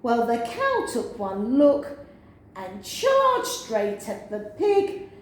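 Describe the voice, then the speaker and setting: A woman reading a children's picture book aloud as a storyteller, with an expressive sing-song delivery. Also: on the high side, drawn-out words. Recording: very echoey room, faint noise.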